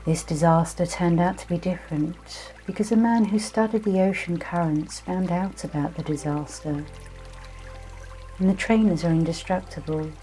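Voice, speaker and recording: A woman with a low-set, very mellow voice guides a meditation. She speaks gently and without hurry, leaving pauses between phrases as she narrates a calming visualization. Soft music plays faintly behind her. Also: rough recording. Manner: gentle, soothing, unhurried hypnotic delivery with many pauses. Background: soft music with trickling, dripping water.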